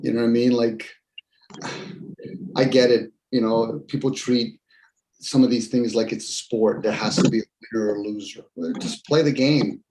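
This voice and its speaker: A man's voice: mid-range voice, steady tone, moderate pace, a little mellow. Man, 50s, show host, casual rambling talker in a roomy space. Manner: rambling, reflective, jokey. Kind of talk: casual group chat. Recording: roomy with faint noise.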